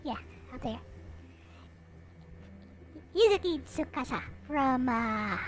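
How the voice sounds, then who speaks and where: A woman hosting a live stream in casual chat, rambling along with frequent hesitant pauses. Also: high-pitched, a little hiss or hum.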